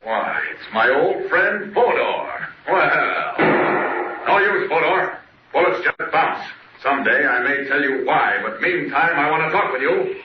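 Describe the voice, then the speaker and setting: A valiant superhero from a 1940s radio serial, brisk and commanding, daring villains to face him. The voice sits a little high for a man. It comes through a muffled, echoey old recording. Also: lively intonation, heavy background noise. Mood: confident, threatening. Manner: brisk, tough, challenging.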